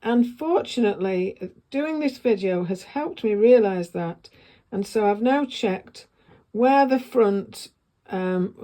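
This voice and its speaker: Woman, croaky gravelly voice, casual sewing vlog, rambling and self-correcting. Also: mid-range voice, dark tone. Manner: chatty, self-correcting, thinks aloud while working. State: croaky voice, coughing. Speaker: sewing vlogger.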